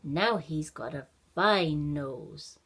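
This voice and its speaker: A woman's voice: sits low, expressive, extremely slow, breathy edge, voice pushed down, full character voice. A woman voicing an eager, puzzled little boy in a children's story, exclaiming and musing aloud. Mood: pleased.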